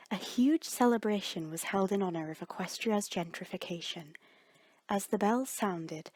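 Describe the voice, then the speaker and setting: A woman narrates a story with a breathy, husky voice at a middling pitch. Her reading is measured and dramatic, with deliberate pauses, in a very dry recording. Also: darkish tone, no room sound.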